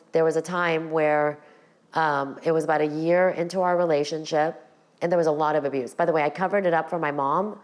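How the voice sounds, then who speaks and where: A middle-aged woman with a raspy voice, hosting her own podcast and talking frankly to her viewers about her past. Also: low-pitched. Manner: candid, direct address to viewers. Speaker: podcast host. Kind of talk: personal storytelling to camera.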